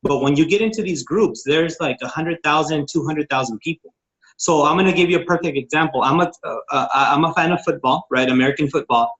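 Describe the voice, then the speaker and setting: A man's voice: on the high side, a little fast, a bit bright, lo-fi sound. A man, a network marketing consultant from Texas, casually teaching on a group call, eager and informal, with many fillers and restarts.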